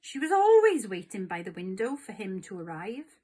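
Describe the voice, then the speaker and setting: A woman narrating a children's storybook aloud in an expressive, scripted read-along style. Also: medium pitch, normal speed, slightly rough.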